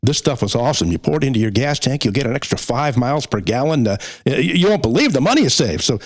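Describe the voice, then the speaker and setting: A man hosting a podcast, talking casually about sports in a conversational, halting way as he works through a list. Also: medium pitch, sing-song. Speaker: podcast host.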